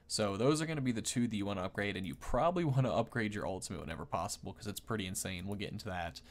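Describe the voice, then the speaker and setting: Low-voiced man rambling about a game. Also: very fast. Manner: rambling, thinking aloud. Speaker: gaming video host. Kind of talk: casual off-the-cuff game commentary.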